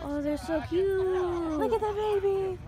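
A young woman vlogging casually on a handheld phone, giggly and excitable, sometimes shouting. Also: very high voice, a little slow. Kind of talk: casual chat. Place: outdoor racecourse and car. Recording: noisy phone recording outdoors. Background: crowd and outdoor noise.

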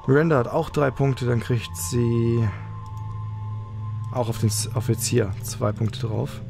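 A man hosts a casual let's play. His voice is gravelly and mid-pitched, and game music plays clearly behind him as he talks. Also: a little slow.

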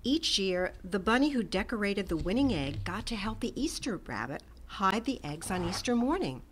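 A woman reading a storybook aloud to children in a gentle, expressive way. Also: mid-range voice, a little hiss or hum. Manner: gentle, expressive, slow and lively. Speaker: storybook reader.

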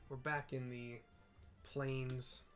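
A middle-aged man giving casual, unhurried game commentary in a small room at home, with muttered asides and game music behind him. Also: mid-range voice, level tone, a bit bright. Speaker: game streamer. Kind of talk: casual let's-play commentary.

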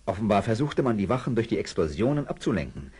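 Husky, deep-voiced police investigator. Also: male voice, sing-song, fast, dark tone, full character voice. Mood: reassuring, firm. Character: calm, authoritative undercover policeman posing as professor. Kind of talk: German children's radio play acting.

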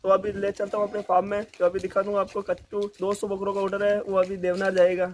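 A man's voice: sits high, monotone, fast, a crowd in the background. A young man from Rajasthan giving a chatty, repetitive sales walkthrough of his goat farm, recorded on a phone outdoors. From Rajasthan, India. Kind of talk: casual walkthrough sales pitch. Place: outdoor goat pens and sandy shed. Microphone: phone camera held close. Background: bleating goats.

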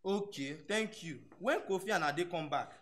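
A man's voice: sits high, moderate pace, a bit bright. A young man with a Nigerian accent playing an eager, timid university fresher in a radio drama. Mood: hurried, friendly. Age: young adult. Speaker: actor.

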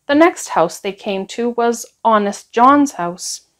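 Female storyteller for picture books, high voice, very lively intonation. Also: takes it slowly. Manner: expressive, acts out dialogue. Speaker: storyteller reading a picture book. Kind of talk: animated children's story read-aloud.

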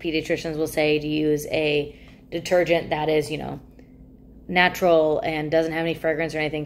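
Low-voiced young woman, chatty product talk. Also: slight background noise. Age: young adult. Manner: chatty, enthusiastic, gestures while talking. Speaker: product reviewer. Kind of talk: casual talking-head product chat. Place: small indoor room by a plain wall.

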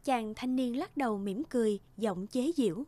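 A woman's voice: a little high, normal speed, warm tone, no echo. A woman narrating a scripted fairy tale as the storyteller.

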